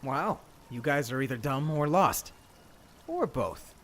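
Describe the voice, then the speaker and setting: A man's voice: medium pitch, lively intonation, takes it slowly, slight background noise, voice pushed up. A man voicing a blunt, mouthy young gang member with a mocking, straightforward manner in an audiobook. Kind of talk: audiobook character voice.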